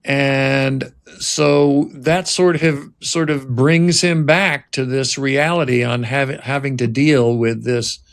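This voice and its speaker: Slow-paced man, deliberate delivery. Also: mid-range voice, fairly even intonation. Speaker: writer-director and podcast host. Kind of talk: scripted narration and reflective talk.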